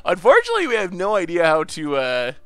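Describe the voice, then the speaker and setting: High, lively, slightly congested man. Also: fast, smooth, no echo. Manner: excitable, laughs often, cheers at wins. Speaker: card game streamer. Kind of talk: casual live-stream gameplay commentary.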